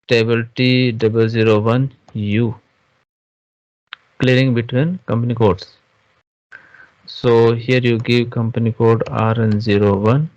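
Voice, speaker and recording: Male software trainer, dull lo-fi sound. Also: medium pitch, no echo. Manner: halting, frequently checks understanding with questions. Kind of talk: informal screen-share tutorial, explaining step by step. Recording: dull, lo-fi online class audio.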